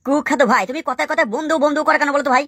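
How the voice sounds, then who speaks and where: A woman's voice: high-pitched, steady tone, very fast, a bit bright, no echo, studio quality. A woman playing an insistent, scheming gang member in an amateur cartoon dub. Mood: insistent, pleading. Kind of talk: amateur Bengali cartoon dubbing.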